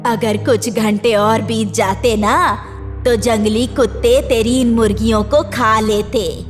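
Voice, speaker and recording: Woman playing a slow-talking, cunning village fortune-teller. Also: a little high, fairly even intonation, soft background music. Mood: assured.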